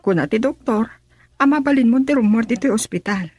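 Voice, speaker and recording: A woman's voice: fairly high pitch, animated, a little fast, a little mellow, close to an everyday voice. An actress with an Ilocano accent playing a blunt, reproachful woman in a radio drama. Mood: firm, matter-of-fact.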